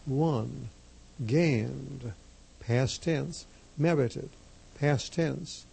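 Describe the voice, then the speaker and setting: Slow, gravelly-voiced priest preaching. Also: male voice, medium pitch, warm tone, low-quality recording. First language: Slovak. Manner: emphatic, measured, repeats key phrases. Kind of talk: slow deliberate sermon.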